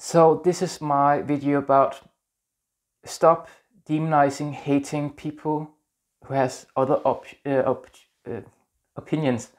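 A Danish-accented man in his thirties talks gently to the camera, earnest and a little halting as he searches for words. He is recorded close on a lapel mic in a small indoor room. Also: on the high side, little pitch movement. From Denmark. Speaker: spiritual vlogger. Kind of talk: casual talk to camera.

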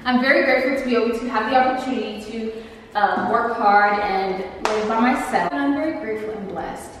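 A woman's voice: a little high, brisk, recorded from a distance, background noise. A woman in her twenties giving a chatty, playful apartment tour vlog, recorded on a camera mic. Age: 20s. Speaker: vlogger. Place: empty apartment with bare walls, indoors. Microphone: camera mic, handheld and at a distance. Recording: echoey empty rooms, camera held away.

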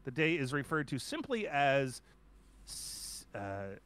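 A man's voice: mid-range voice, sing-song, fairly bright tone, clear, resonant tone. A man in his forties from Canada, co-hosting a casual podcast chat from a home art studio, joking and bantering. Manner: joking, playful, quick banter. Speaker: podcast co-host. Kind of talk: casual chat on a live stream.

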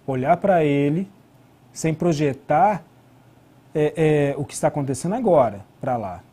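Brazilian male lecturer, middle-aged. Mellow, slightly high voice, explanatory academic delivery with rhetorical questions. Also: breathy edge. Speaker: history lecturer and researcher. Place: indoor studio at a desk.

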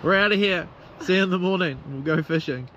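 A man's voice: high-pitched, brisk, fairly bright tone, highs cut off. A man in his thirties with a New Zealand accent, chatting casually and upbeat to a handheld camera at a beachside campsite. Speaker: vlogger. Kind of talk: casual travel vlog chat. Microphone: handheld action camera close up. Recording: outdoor background noise.

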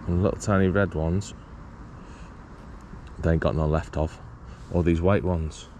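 A man's voice: low voice, fairly even intonation, unhurried. A British man chatting casually and informally to viewers as he fishes, often musing aloud in questions. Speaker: angler presenting a fishing video. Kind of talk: casual chat to viewers while fishing. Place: outdoors beside a small pond. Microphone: body-worn action camera. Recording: clear outdoor ambient and traffic noise.

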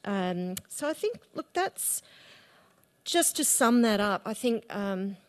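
A woman's voice: mid-range voice, very animated, takes it slowly. Earnest woman on a panel. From Australia. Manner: earnest, with fillers and restarts. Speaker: panel speaker. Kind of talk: conference presentation. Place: large conference room. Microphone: desk microphone.

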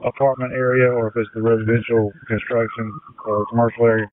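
A man talks as a fire officer in short radio exchanges. His pitch is middling and stays flat. The signal is narrow with faint noise. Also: fast talker. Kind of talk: terse two-way radio traffic. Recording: noisy, tinny two-way radio.

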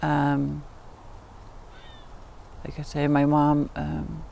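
A woman's voice: deep voice, completely flat delivery, extremely slow, vocal fry, warm tone, slight background noise. A woman in her sixties recalling her life in an unscripted, hesitant way, recorded outdoors in a backyard. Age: 60s. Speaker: documentary interviewee. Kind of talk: unscripted personal recollection.

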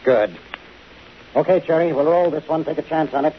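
An actor playing a brisk, irritable, commanding film director in a 1950s radio drama. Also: male voice, fairly high pitch, close to an everyday voice. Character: bossy, irritable male Hollywood film director. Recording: dull, hissy 1950 radio recording.

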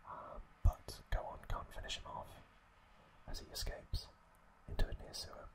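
A man whispering an ASMR recap as host, telling it in short, hesitant phrases with pauses.

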